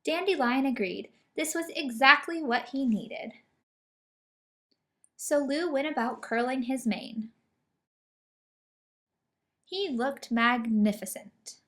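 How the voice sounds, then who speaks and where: A woman narrates a children's story in a fairly high voice. She reads expressively, as a storyteller would. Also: expressive, slow pace, pauses often, fairly bright tone. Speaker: picture book reader. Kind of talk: children's story read aloud.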